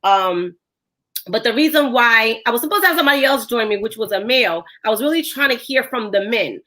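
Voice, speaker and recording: A woman with a hoarse voice, hosting a podcast in a casual chat full of fillers. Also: on the high side, animated, a little fast, sharp tone. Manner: chatty, filler-heavy. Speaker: podcast host.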